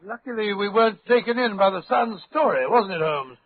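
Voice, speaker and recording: A blustering, good-natured British gentleman sidekick, high-pitched and spluttering as he repeats himself. It is old 1940s radio acting, heard thin and tinny. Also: lively intonation, fairly quick, no echo. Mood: amazed, amused. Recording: thin, band-limited old radio recording.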